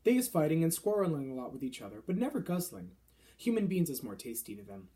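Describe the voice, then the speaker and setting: A man voicing a gentle, nervous giant in a children's storybook, speaking softly with quaint muddled grammar and nonsense words. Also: on the high side, very animated, quick pace. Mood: explaining.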